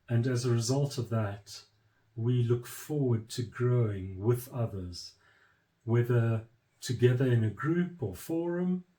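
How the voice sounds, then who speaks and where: A man speaking as a church leader in an earnest, measured address, pausing often between short phrases and turning firm on commitment. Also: medium pitch, dark tone, rough recording.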